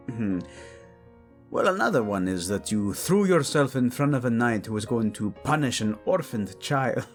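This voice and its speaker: A man's voice: fairly low pitch, exaggerated voice. A man playing a devoted, hot-tempered yet tender king, speaking intimately and teasingly in a romantic audio roleplay. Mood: amused, teasing. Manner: soft, intimate, teasing, halting.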